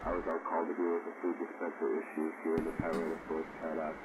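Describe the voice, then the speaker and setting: An actor playing a procedural park maintenance worker talking matter-of-factly over a noisy two-way radio in a horror tape. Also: male voice, extremely low pitch, monotone, quick pace. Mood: procedural, troubled. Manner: matter-of-fact, technical, procedural.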